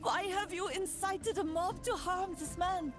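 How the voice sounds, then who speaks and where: A woman's voice: very high-pitched, unhurried. A woman playing a stern, devout preacher in a video game, speaking in a solemn, formal and emotive way. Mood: indignant. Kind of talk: dramatic game voice acting. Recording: game music behind the voice.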